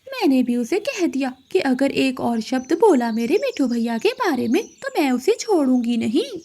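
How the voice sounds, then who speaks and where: A woman voicing a sly, gossiping female crow who goads others in an animated moral story. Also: high-pitched, moderate pace, exaggerated voice. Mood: sly, goading. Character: scheming, gossiping female crow stirring up quarrels.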